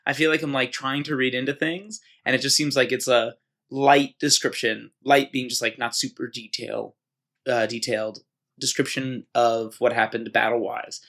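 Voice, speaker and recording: A man's voice: mid-range voice, steady tone, normal speed, vocal fry, fairly bright tone. A man co-hosting a casual podcast, talking in a playful, rambling way. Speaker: podcast co-host. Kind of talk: casual chat.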